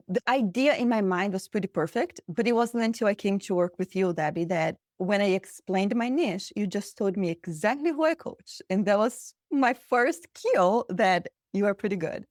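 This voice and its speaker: A woman from Brazil, Portuguese her first language, answers as a coach guest in her thirties. Her mid-pitched voice is earnest and animated, with rhetorical questions. The sound is dry and close. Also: measured pace, hardly any pauses, no echo. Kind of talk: interview answers.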